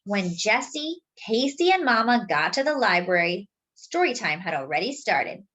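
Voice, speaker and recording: A woman reading a picture book aloud to children expressively, adding simple explanatory asides in a deliberate way. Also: medium pitch, very animated, fairly bright tone. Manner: deliberate, explanatory for young listeners. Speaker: children's story reader.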